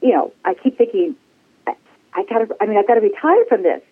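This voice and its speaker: Sixties woman, bubbly guest, mid-pitch, thin phone-line sound. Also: expressive, fast talker, breathy edge. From United States. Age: 60s. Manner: bubbly, laughs often. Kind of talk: casual interview. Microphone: phone call.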